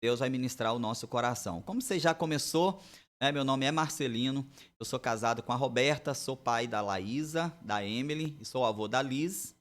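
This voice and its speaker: Forties male pastor, guest on a church podcast, tells his testimony in a relaxed, chatty way. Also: mid-range voice, moderate pace. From Bahia, Brazil. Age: 40s.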